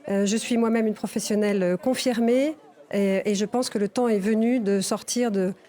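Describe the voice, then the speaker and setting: A woman's voice: medium pitch, steady tone, moderate pace, dark tone. A woman election candidate giving her opening statement in a debate, earnest, with some hesitations.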